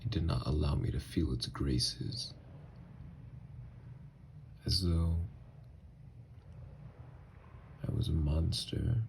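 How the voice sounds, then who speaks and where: A man playing an anguished, newly turned vampire in an intimate ASMR roleplay, speaking in a halting, hushed, gravelly voice. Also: extremely low pitch, slight background noise, exaggerated voice. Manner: halting, hushed, breathy delivery with long pauses.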